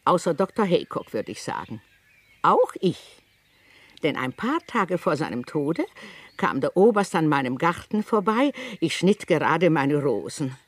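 A shrewd elderly woman detective in a radio play. Her voice is husky and sits fairly low, swinging expressively through precise, worried observations, recorded close and dry. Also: very animated, unhurried, no room sound, full character voice. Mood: grave. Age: older. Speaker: actor and narrator in a detective play. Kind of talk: radio drama acting and narration.

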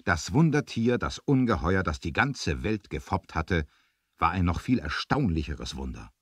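A male narrator with a very low, husky voice tells a scripted adventure story. His delivery is lively and suspenseful, with pitch that moves a lot, in classic radio play style. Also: moderate pace, no echo, clean studio sound.

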